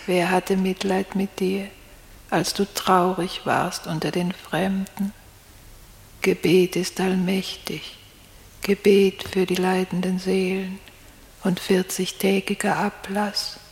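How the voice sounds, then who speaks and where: A woman's voice: medium pitch, little pitch movement, takes it slowly, vocal fry, a little mellow. An actress playing a dead mother's pious, mournful ghost in a radio play, solemn and incantatory. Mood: mournful, tender. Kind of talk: 1960s German radio play acting. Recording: long cavernous reverb.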